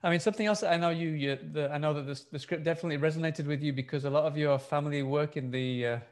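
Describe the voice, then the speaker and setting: A man interviewing someone casually, circling around his questions in a halting, roundabout way. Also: fairly high pitch.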